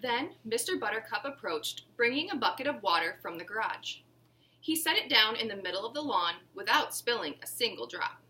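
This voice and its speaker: Animated, bright-voiced woman reading a story. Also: a little high, brisk, slight background noise, low-quality recording. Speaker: teacher reading a storybook aloud. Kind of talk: children's storybook narration.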